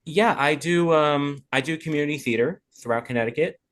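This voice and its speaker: A man's voice: fairly high pitch, fairly quick, a bit bright. A man from New York, a contestant, talking in a friendly, chatty way over a video call. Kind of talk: casual chat.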